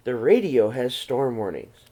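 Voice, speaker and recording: A curious young daughter in a winter picture book, read by a man in a medium-pitched voice. The lines are wondering and questioning, with pitch that moves up and down freely. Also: very animated, a little slow, mellow.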